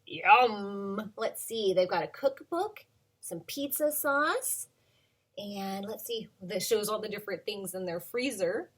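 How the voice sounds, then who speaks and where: A woman in her thirties, a preschool teacher, reading a picture book aloud to children in a gentle, sing-song way with many ums. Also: mid-range voice, animated, takes it slowly, breathy edge.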